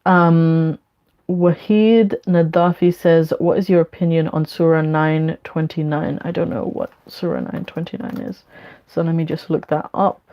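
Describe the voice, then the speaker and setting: A woman with a London accent hosting a casual question-and-answer session, speaking in a frank, measured way. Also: low-pitched, steady tone. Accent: London English. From London, UK; born in Bangladesh. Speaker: online Q&A host. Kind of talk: casual spoken Q&A, reading questions and giving opinions. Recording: dull, lo-fi sound.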